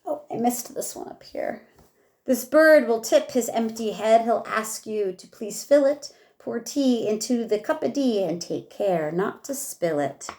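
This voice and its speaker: A woman teacher reading a rhyming picture book aloud in a measured way, with casual asides. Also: a little high, animated, a little mellow.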